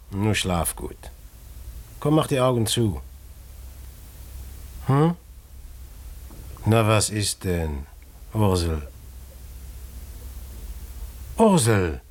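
A man acting in a German radio play as an anxious, soothing husband with a dark secret, coaxing and hesitant. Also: fairly low pitch, very animated, drawn-out words, long pauses, full character voice. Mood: coaxing, worried.

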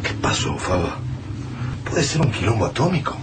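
A man's voice: on the low side, big pitch swings, moderate pace, background noise. An actor with an Argentine accent playing a fearful, resolute family man in a radio drama. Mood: worried. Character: Juan, fearful, resolute family man surviving deadly snowfall. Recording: music playing behind the voice.

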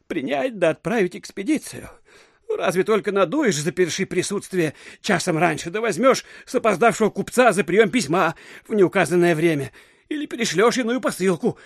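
Husky, high-voiced provincial official. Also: male voice, no echo, studio quality, exaggerated voice. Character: grumbling, envious provincial male official of tsarist Russia.

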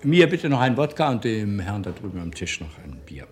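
An actor in a radio drama playing a bitter, sardonic drinker who needles with false solicitude. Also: male voice, lowish voice, sing-song, fairly quick. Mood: needling.

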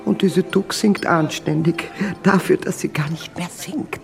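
An actress playing a sharp-tongued, snobbish elderly German émigré mother in a radio drama, haughty and grumbling. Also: on the low side, unhurried, vocal fry. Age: older. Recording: music behind the voice.

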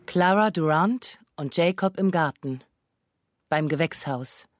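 Very slow, low-voiced woman narrator. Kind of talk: literary scene-setting narration in a radio drama.

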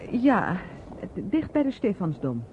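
Young niece, evasive and uneasy, mid-pitched with very mobile intonation; muffled old radio drama. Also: female voice, wildly swooping pitch, takes it slowly. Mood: guarded. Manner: hesitant, guarded, deflecting. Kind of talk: radio play dialogue. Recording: muffled, slightly noisy old radio play recording.

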